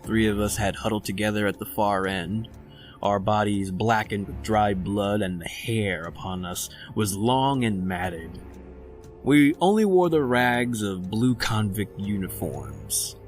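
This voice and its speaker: Low-voiced male actor as a worldly murderer-doctor, self-possessed, eloquent and theatrical, for a radio horror play over faint music. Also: a little slow, lightly acted. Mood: grave, ominous. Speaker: actor narrating and playing a lead. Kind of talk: old-time radio horror drama acting, scripted narration.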